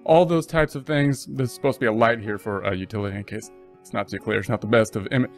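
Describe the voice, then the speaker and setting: A man's voice: on the low side, fast talker, faint music behind. A man teaching accounting in a casual voice-over lecture, talking it through and restarting phrases as he goes. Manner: conversational, restarts mid-phrase, thinks aloud. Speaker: accounting instructor.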